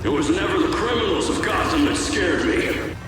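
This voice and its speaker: An actor playing a bitter, defiant crusader in a video game, delivering intense, accusing speech. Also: male voice, high-pitched, lively intonation, normal speed, background noise. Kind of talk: dramatic video game acting. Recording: very echoey, distant, loud music behind.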